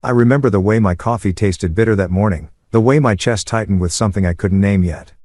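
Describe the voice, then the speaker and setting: A man narrating a first-person story in a steady, measured way. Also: lowish voice, dry recording.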